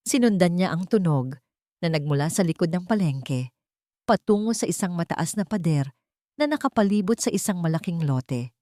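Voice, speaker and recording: A woman reading a dramatic first-person story as an audiobook narrator, measured and dramatic. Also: fairly low pitch, a little mellow, no echo, clean studio sound.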